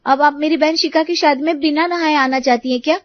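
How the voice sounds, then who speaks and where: A woman voices a young daughter-in-law from an animated story. The voice is high, eager and sweetly insistent. The recording is dry and slightly dull. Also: a little fast, no echo, lightly acted. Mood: scolding. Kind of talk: animated moral story dialogue.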